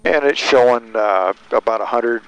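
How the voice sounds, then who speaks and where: An older man giving patient, halting step-by-step instructions as a flight instructor, speaking into an aviation headset over intercom. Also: mid-range voice, clean tone, poor audio. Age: older, about 60s. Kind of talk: calm instructions during a flying lesson. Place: small light aircraft cockpit. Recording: aircraft intercom headset audio.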